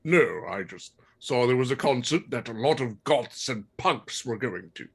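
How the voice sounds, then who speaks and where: Gravelly old wizard headmaster, played by a man. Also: medium pitch, lively intonation, a little slow, exaggerated voice. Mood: casual. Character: old male wizard headmaster, casually chatty. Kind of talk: comedic dramatic reading of fan fiction.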